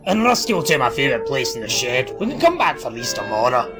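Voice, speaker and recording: A man's voice: medium pitch, big pitch swings, over music, close to an everyday voice. A man voicing a stubborn, boastful little steam engine who is easily frightened, in an audio drama. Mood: grumbling defiant. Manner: blustering, quick to panic. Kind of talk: audio drama character acting.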